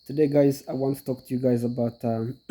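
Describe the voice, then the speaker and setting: A man's voice: mid-range voice, expressive, normal speed, mellow, husky. A middle-aged goat farmer with a cold, hosting his channel and explaining step by step in a chatty way to his viewers, often repeating himself. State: congested from a cold. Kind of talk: casual instructional talk to viewers.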